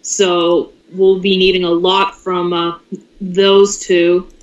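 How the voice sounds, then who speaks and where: A woman's voice: fairly low pitch, level tone, slow pace, dull audio. A woman talking casually as an organizer in an online group meeting. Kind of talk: casual discussion. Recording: distant echoey voice call.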